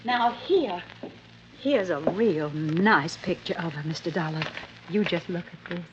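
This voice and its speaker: A woman's voice: fairly low pitch, animated, a little slow. An American actress playing a polite, chatty, wistful middle-aged wife and mother in 1950s radio drama style. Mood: eager, proud. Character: genteel, fluttery, wistful older wife and grieving mother. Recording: muffled, noisy old radio recording.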